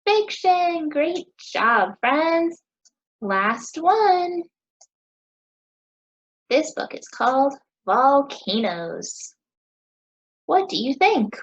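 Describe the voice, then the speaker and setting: An American woman in her thirties teaching young children, asking questions in a sing-song, encouraging way with deliberate pauses. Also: sits high, very animated, muffled recording. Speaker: teacher hosting a children's story time.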